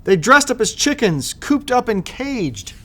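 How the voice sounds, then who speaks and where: A man reading to children as a storybook narrator, expressive and sing-song with the rhymes. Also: extremely high pitch, measured pace. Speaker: school superintendent reading to children.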